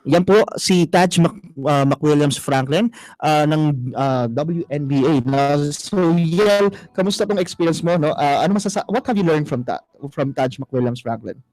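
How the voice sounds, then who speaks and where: A man, hosting a radio show, speaking haltingly with many fillers. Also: on the high side, expressive, a little mellow, dry recording, poor audio. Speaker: radio host.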